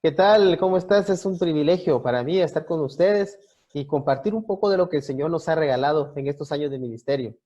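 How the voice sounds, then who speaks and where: Male pastor as interview guest, 40s, Guatemalan accent, relaxed dark tone, halting delivery, muffled low-fidelity sound. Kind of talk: conversational interview, explanatory.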